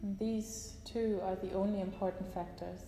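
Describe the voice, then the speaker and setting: A woman guiding a yoga nidra relaxation session, giving slow, calm instructions with long pauses. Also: medium pitch, soft background music. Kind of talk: guided relaxation meditation. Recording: roomy echo with long reverb, faint hiss.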